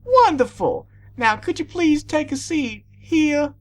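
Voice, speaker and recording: A woman's voice: sits high, sing-song, slow pace, voice pushed up, heavily acted. A woman voicing a kindly mare who teaches music, gracious and singsong, in a pony story. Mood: delighted.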